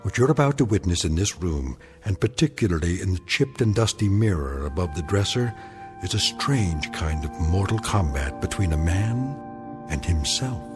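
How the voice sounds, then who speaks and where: A man narrating an eerie radio drama in a deliberate, portentous and ominous style. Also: deep voice, lively intonation. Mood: grave, ominous. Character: grave, ominous male narrator of an eerie tale. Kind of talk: scripted dramatic narration in old-time radio anthology style. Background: music.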